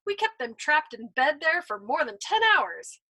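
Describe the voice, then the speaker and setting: A woman voicing a smug, pudgy young male airman who boasts loudly. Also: high voice, sing-song, unhurried, voice pushed up, exaggerated voice. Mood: nostalgic.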